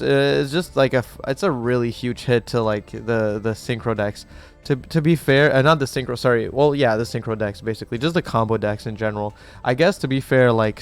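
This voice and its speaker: A young man hosting a gaming channel, chatting in a rambling, excitable way while sick with a cough, with a mic set close to him. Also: mid-range voice, clear, resonant tone. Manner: excitable, rambling, swears casually. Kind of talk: casual reaction commentary. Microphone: desk mic set too close.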